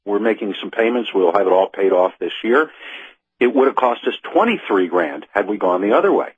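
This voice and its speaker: A man's voice: fairly low pitch, animated, brisk, husky, dry recording. A man in his fifties speaking as a call-in radio guest, casual, wry and plain-spoken. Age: 50s. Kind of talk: casual conversational radio interview. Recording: narrow, tinny phone line.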